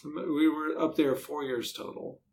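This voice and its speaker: A man's voice: fairly high pitch, measured pace, gravelly, darkish tone. A middle-aged American man chatting casually and easily as an author being interviewed. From United States.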